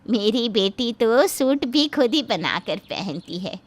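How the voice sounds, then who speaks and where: A woman's voice: a little high, animated, slow. A woman playing a pushy, boastful middle-aged mother of a bride in a dramatized moral story, speaking insistently.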